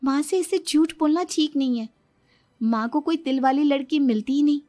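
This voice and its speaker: A woman voicing a gentle, honest young bride who pleads earnestly. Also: high voice, normal speed, pitched above the performer's own voice, natural voice. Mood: reproachful.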